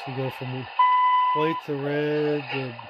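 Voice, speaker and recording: A man giving a casual, halting hands-on walkthrough as a radio hobbyist, in loose unscripted phrasing. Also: medium pitch, flat delivery, lo-fi sound. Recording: loud radio static and hiss. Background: shortwave receiver noise.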